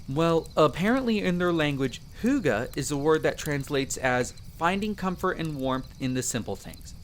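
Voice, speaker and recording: A man playing a friendly, earnest young elf explorer in roleplay audio, explaining things chattily with hesitant pauses. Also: mid-range voice, a bit bright, a little hiss or hum, lightly acted. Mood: chatty, informative. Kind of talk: character roleplay audio addressed to the listener.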